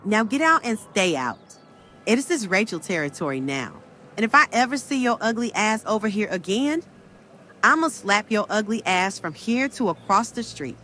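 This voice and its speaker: An actress playing a proud uptown woman defending her man, speaking in a slow, sassy, threatening way in a comedy skit. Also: medium pitch, sing-song, bright, forceful tone, a little hiss or hum.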